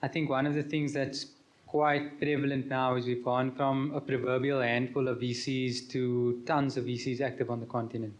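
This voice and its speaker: Male moderator, easy casual tone, medium pitch. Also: level tone, moderate pace. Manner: casual, apologetic, question-asking. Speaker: panel moderator. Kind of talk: moderating a panel.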